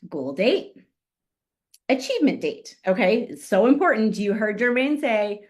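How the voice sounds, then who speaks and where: Woman giving a pep-talk style training, coughy strained voice, clears her throat a lot. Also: a little high, sing-song, measured pace. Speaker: guest speaker on a business call. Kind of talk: upbeat motivational training talk.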